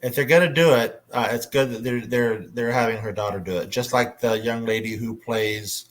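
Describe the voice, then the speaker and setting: A man moderating a casual panel discussion, often pausing to search for his words. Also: mid-range voice, steady tone.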